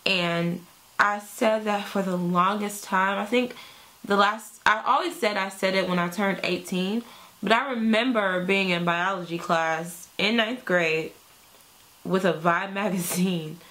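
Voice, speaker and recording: A woman's voice: mid-range voice, a little slow, sharp tone, low-quality recording. A woman in her thirties chatting casually to camera on her channel, upbeat and full of "like" and "y'all". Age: 30s. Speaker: beauty vlogger.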